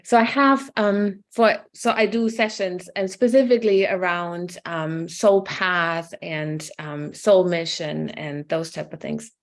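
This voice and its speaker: A woman guest who offers spiritual sessions, talking gently and hesitantly with many pauses and ums. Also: lowish voice, fairly even intonation. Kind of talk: casual conversation.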